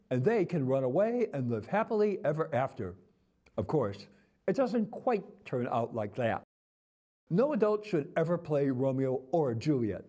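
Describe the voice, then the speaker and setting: A man delivers a scripted arts review in a soft, mellow tone. His voice sits a little high for a man and moves through lively swings of pitch. The phrasing is articulate and theatrical, like a practiced critic reading aloud.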